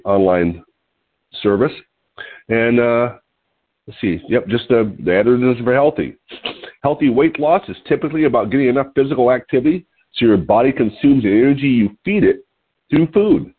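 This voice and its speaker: A man's voice: mid-range voice, husky. A man in his sixties, nasal and sniffly from allergies, hosting a call and reading an article aloud with small chuckling asides. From California. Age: 60s. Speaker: host. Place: home room. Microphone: phone line. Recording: tinny phone-line call.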